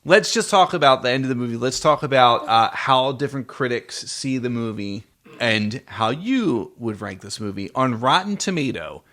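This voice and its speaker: A man's voice: mid-range voice, expressive, moderate pace, a bit bright. Male podcast co-host, middle-aged, casual and encouraging, guides a young partner with questions. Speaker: podcast co-host, parent talking with child. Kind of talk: casual movie discussion with a child.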